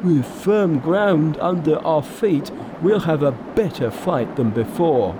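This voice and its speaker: A man's voice: fairly high pitch, lively intonation, slow pace, background noise, pitched above the performer's own voice. A man voicing a blunt, grumbling, boastful pig creature in a storybook reading. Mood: challenging. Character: blustering, grumbling male pig monster disciple. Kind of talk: storybook narration with character voices.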